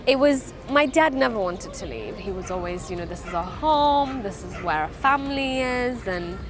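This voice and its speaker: A woman from Afghanistan whose first language is Farsi, giving earnest, halting interview answers about her life. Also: medium pitch, lively intonation, music in the background. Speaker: interviewee, refugee charity founder.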